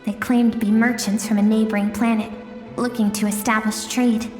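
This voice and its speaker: A woman's voice: a little high, little pitch movement, normal speed, close to an everyday voice. A woman as a wistful, echoing mysterious guide. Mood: somber. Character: mysterious female guide recounting memories, gentle, wistful. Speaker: narrator and guide. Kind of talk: dramatic storytelling in a video game. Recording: echoing hall reverb with music.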